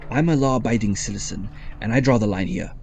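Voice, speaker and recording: Man playing a stern, gravelly ship captain. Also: lowish voice, quick pace, darkish tone, slight background noise. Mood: indignant. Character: stern, distrustful, principled male ship captain. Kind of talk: audio drama acting.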